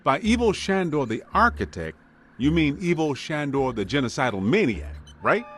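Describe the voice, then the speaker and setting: A man's voice: medium pitch, wildly swooping pitch, normal speed, fairly bright tone. An actor playing a streetwise, wisecracking male ghost hunter in a video game, colloquial and comic, with an American accent. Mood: wry, joking. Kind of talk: acted video game dialogue. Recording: music behind the dialogue.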